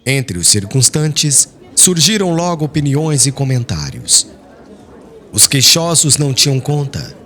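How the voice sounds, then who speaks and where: A man giving a solemn, expressive, dramatic reading of a literary novel as an audiobook narrator. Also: mid-range voice, a little slow.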